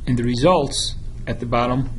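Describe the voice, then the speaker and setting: A man giving a methodical, instructional narration of a software tutorial, as a product demonstrator. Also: mid-range voice, normal speed, noisy background.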